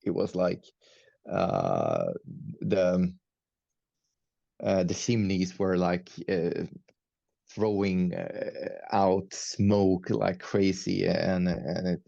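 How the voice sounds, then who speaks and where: A very deep, gravelly male voice with a dark, mellow tone, speaking slowly. He is a Swedish-native metal musician being interviewed, hesitant, with frequent fillers and restarts. The sound is dry and a bit dull, like a lo-fi call. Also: no room sound. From Sweden. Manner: hesitant, many fillers and restarts, laughs often. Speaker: guest musician, metal band. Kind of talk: casual interview chat.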